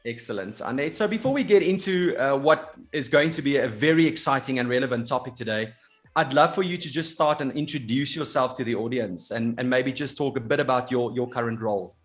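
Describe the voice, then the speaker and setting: A man's voice: mid-range voice, a little fast, faint music behind. A man hosting a podcast interview, enthusiastic and full of praise for his guest. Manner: enthusiastic, effusive. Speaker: podcast host.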